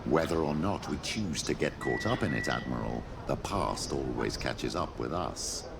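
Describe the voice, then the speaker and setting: An actor playing a grave male companion in a game, speaking in a measured, solemn way. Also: very deep voice, lively intonation, gravelly, heavy background noise, heavily acted. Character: gruff male companion, adult, steady and grave. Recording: game music under voice.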